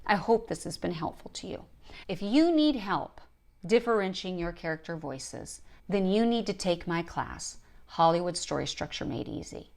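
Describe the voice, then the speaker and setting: A woman's voice: on the low side, expressive, moderate pace, gravelly, a little mellow. A woman giving an instructional talk as a writing coach, speaking directly and emphatically, repeating key points for stress. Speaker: writing coach and show host.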